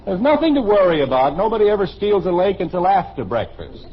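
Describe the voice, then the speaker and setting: A man playing an impatient, wisecracking father and fishing buddy in an old-time radio comedy, with a husky voice. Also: sits high, sing-song, a little fast, full character voice. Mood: brisk, wry. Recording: muffled old radio recording.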